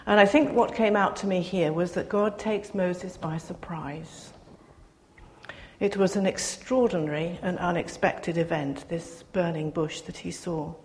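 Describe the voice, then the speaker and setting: Older woman preacher, soft and reflective, low voice, faint hiss, slightly distant mic. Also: fairly even intonation. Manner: gentle, reflective, soft-spoken with pauses. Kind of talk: personal sermon to a congregation.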